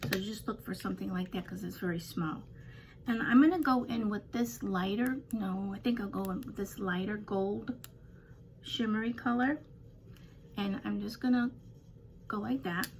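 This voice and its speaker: An older woman with a slightly raspy voice, chatting casually through a home makeup tutorial with rambling, self-deprecating asides. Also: mid-range voice, moderate pace, a little hiss or hum. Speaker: beauty vlogger.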